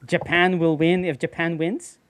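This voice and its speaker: A man talking casually on a trading livestream, explaining in halting phrases full of fillers. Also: on the high side, level tone, a little hiss or hum. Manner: halting, many fillers and restarts while explaining.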